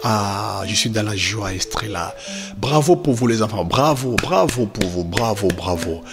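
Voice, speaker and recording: A man's voice: lowish voice, lively intonation, measured pace, music in the background. A man playing a devout, proud, affectionate father who teaches his children, effusive and encouraging, repeating phrases for emphasis. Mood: proud, joyful.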